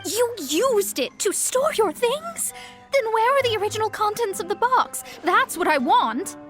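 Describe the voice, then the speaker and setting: A woman's voice: very high-pitched, big pitch swings, unhurried, fairly bright tone, heavily acted. A woman playing a haughty, dramatic young female astrologer in an anime game, speaking in formal, pompous phrases. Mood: alarmed. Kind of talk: anime video game voice acting. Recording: game music under the voice.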